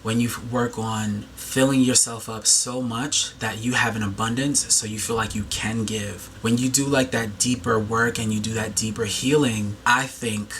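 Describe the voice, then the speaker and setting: A man's voice: fairly low pitch, steady tone, moderate pace, hardly any pauses, fairly bright tone, a little hiss or hum. A man in his thirties talking earnestly to camera in a rambling way, with lots of "like" and restarts. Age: 30s. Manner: earnest, rambling, heavy use of "like". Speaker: video host. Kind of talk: reflective monologue to camera.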